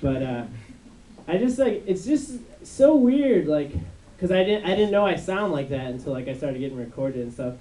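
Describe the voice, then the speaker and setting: A young man with a high, unmasculine voice doing a rambling stand-up set on a club stage, with many fillers and restarts. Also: big pitch swings, moderate pace, mellow. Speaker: stand-up comedian. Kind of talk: stand-up routine. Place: dark club stage before a live audience. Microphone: handheld mic on a stand. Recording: echoey room, mic from far off.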